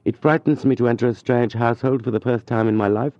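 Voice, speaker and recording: A man's voice: medium pitch, fairly even intonation, fast talker, darkish tone, no echo, dull audio, voice pushed up, lightly acted. A man voicing a poor, shy young tutor who speaks earnestly and falters at times.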